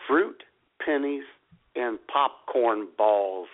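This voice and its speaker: A man's voice: mid-range voice, drawn-out words. A man co-hosting a comedic radio show, trading playful banter and slipping into mock grumpy voices. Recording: phone-like radio audio.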